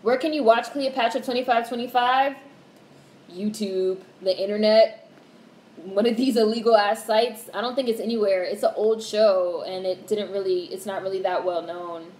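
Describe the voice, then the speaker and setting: Monotone young woman chatting with livestream viewers. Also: mid-range voice, normal speed. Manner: candid, swears casually, reacts to chat. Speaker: livestream host. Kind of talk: casual livestream chat reading comments. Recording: roomy echo with faint background hiss.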